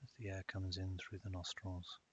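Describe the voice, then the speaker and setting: A man narrating a guided meditation in a calm, measured way with long pauses. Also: low voice. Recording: dull lo-fi audio with hiss.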